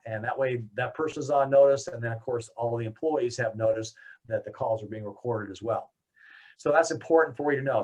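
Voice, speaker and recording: An American man presenting a software product demo in a conversational, explanatory way. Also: mid-range voice, poor audio.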